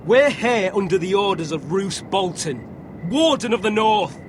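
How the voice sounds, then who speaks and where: An actor playing an arrogant, bullying young lord in a video game drama, foul-mouthed, taunting and domineering. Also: male voice, expressive, moderate pace. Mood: menacing, demanding. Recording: clear background noise, outdoor rumble.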